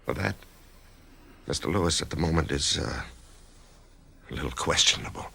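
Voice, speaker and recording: An actor in a radio drama playing a nervous office worker who is facing a risky operation, who stammers and breaks into shouts. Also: male voice, rumbling low, moderate pace, gravelly, breathy edge, exaggerated voice. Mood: tense. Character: anxious male office worker facing surgery. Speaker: actor playing the lead and narrator.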